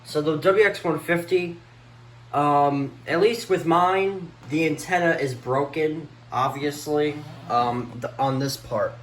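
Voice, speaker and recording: A man's voice: fairly high pitch, takes it slowly. A man giving a casual, unscripted vlog tour of a collection in a rambling, mildly exasperated way, repeating himself as he goes. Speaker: vlogger showing a weather radio collection. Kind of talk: casual unscripted show-and-tell. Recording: echoey room with faint background noise.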